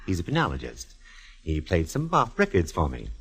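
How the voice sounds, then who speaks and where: An actor playing a fussy, effusive, motherly gentleman in a 1940s radio drama, gushing with genteel theatrical endearments. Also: deep voice, wildly swooping pitch, brisk, husky, exaggerated voice. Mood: chatty, whimsical. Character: fussy, effusive, motherly male photographer and old pal.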